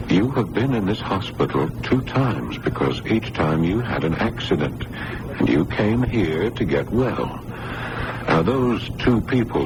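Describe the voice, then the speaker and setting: An actor playing a patient, kindly hospital doctor who coaxes and reasons gently, often repeating his words, in old-time radio drama style. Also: sits low. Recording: noisy vintage radio broadcast recording.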